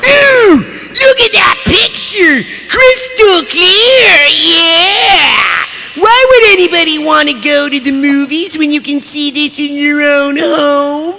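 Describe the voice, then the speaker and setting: Man putting on a very high, excitable 1950s parent. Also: slow pace, gravelly, heavily acted. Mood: excited. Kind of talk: live dramatic script reading in cartoon voices. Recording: live convention stage with audience.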